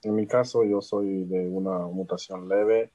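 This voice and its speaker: A man's voice: lowish voice, very animated, unhurried, gravelly, warm tone. A man from Guatemala asking a doctor a question on a video call, polite and hesitant, with many fillers.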